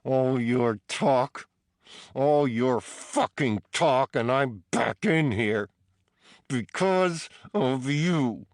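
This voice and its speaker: A beaten, bitter male prisoner, as a male narrator puts him on, his voice pitched well above the reader's own, strained and a little strange in tone. He drags out his accusations slowly, then rises into anguished shouting. Dry, close recording. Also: no echo. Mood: furious, accusing. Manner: slow, drawn-out accusations rising to anguished outbursts.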